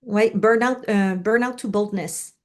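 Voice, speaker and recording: A woman's voice: mid-range voice, measured pace. A woman from Quebec with a Quebec French accent, speaking casually as a coach being interviewed. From Quebec, Canada.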